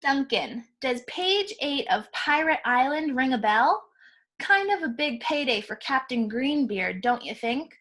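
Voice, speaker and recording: Woman as an indignant, bright-voiced crayon. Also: high-pitched, very animated, a little slow, heavily acted. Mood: sarcastic, scolding. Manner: sarcastic, scolding. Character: indignant, blunt gold crayon scolding its owner.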